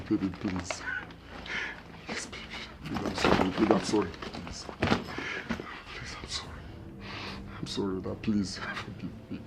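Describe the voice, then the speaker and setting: A man's voice: lowish voice, noisy background. A man playing a pleading husband. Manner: begging, repetitive. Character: pleading husband caught between mother and wife.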